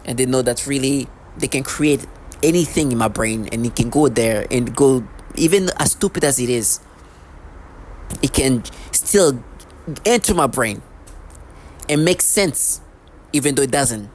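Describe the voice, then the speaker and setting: A man's voice: mid-range voice, animated, measured pace, long pauses, a little hiss or hum. A man in his thirties talking in a rambling, repetitive, agitated monologue as a vlogger. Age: 30s. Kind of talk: unscripted monologue to camera.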